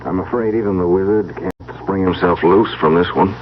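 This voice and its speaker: An actor playing a wry, affectionate husband in an old-time radio drama, conversational and gently teasing. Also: male voice, deep voice, moderate pace, close to an everyday voice. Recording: muffled vintage radio recording, faint hiss.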